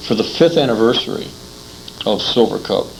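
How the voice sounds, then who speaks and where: An American man conducting a conversational interview, haltingly recounting details and repeating words as he goes. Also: fairly low pitch, animated, vocal fry, a little hiss or hum, low-quality recording.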